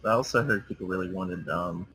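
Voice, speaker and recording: A man's voice: low-pitched, a little fast, sharp tone, slightly rough. A man chatting casually as a co-commentator on gameplay, trading banter.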